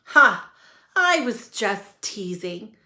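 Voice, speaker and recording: A good-humoured male elephant from a picture book, read by a woman. He is patient and gently bossy, and takes his time over his words at a slow pace. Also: mid-range voice, very animated, heavily acted. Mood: teasing, kind.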